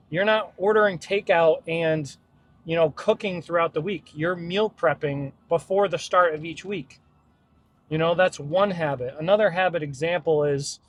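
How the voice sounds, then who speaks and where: A man's voice: fairly high pitch, slight background noise. A man in his thirties, a fitness coach, talking casually and bluntly to camera with plenty of energy and the odd swear word. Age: 30s. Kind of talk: casual direct-to-camera talk.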